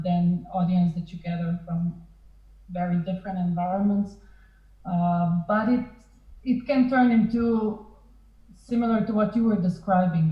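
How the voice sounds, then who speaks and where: A woman giving unscripted, hesitant remarks as a panelist, searching for words as she goes. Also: fairly low pitch. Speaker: film education panelist. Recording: echoey room, dull band-limited sound.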